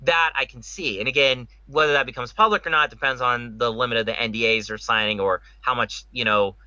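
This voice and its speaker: A man's voice: mid-range voice, fairly even intonation, brisk, sharp tone, dry recording, dull audio. A man hosting a casual opinion podcast, speaking emphatically with rhetorical questions and repetition. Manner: emphatic, rhetorical, repeats himself. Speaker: podcast host. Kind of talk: opinionated casual panel discussion.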